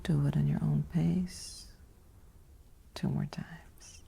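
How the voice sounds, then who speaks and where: A woman narrates a guided sleep meditation in a soft, whispery ASMR style. Her voice is mellow and full of heavy vocal fry. She speaks in an even, soothing way, leaving long pauses between short phrases. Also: low-pitched, a little hiss or hum.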